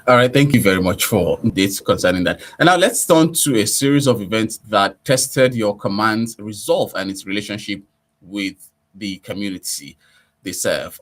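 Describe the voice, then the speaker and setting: A man with a Nigerian accent hosting a radio interview, putting formal questions in a measured presenter's manner. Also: lowish voice.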